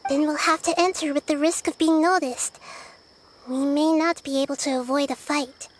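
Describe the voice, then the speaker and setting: A woman voicing a small, childlike goddess who talks in a soft, calm and earnest way. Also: high voice, moderate pace, lightly acted. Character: small childlike girl goddess, gentle and curious. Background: game music.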